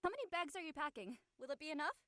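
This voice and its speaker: Actress for breezy young female friend, drama, sarcastic, very lively pitch, dry recording. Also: racing, no room sound. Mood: prodding. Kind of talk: acted dialogue in an audio-led play.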